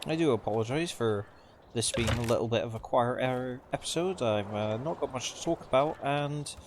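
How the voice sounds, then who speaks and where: A man giving casual, subdued gameplay commentary in halting phrases, his voice slightly off from a sore throat. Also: mid-range voice. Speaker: video game let's player. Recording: clearly noisy background.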